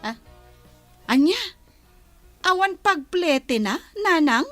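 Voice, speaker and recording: A woman's voice: very high-pitched, expressive, slow, fairly bright tone, no echo, soft background music, full character voice. A woman playing a thrifty, long-suffering elder sister in an audio drama, sighing in reproach with an Ilocano accent. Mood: resigned reproach. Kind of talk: Ilocano radio-style drama acting.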